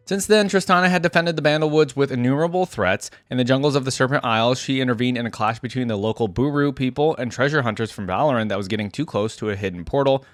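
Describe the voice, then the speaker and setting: Fast-talking man hosting a reaction video. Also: mid-range voice, dry recording. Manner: chatty, thinks aloud with asides. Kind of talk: casual reaction commentary mixed with reading aloud.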